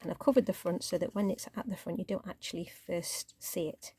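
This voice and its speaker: Croaky-voiced woman crafting. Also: fairly low pitch, a little fast, no room sound. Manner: chatty, relaxed show-and-tell, drops to near-whisper while handling paper. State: croaky voice, clearing throat. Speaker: crafting channel host. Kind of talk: casual craft tutorial.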